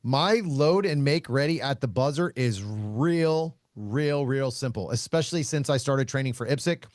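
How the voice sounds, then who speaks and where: Man, loud voice, blunt, laughs a lot, casual podcast talk, dry close mic. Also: medium pitch, expressive, moderate pace, dry recording. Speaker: shooting instructor and podcast host.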